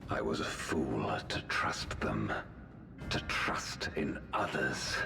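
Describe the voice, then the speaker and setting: A man playing a grave, remorseful fallen villain in a video game, with a very deep gravelly voice and solemn, archaic, deliberate delivery. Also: heavily acted. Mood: bitter remorse. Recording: roomy echo, loud music underneath.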